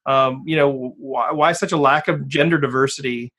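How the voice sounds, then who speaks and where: A man's voice: mid-range voice, fairly even intonation, normal speed, a bit bright. A man hosting a podcast interview, joking and rambling with many restarts. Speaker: podcast host. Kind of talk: casual interview.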